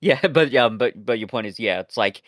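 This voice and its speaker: Man, dry close sound, medium pitch, casual review talk, halting delivery, wry, swears freely. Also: lively intonation, fairly bright tone, dry recording. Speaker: podcast co-host reviewing audio dramas. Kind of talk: casual discussion.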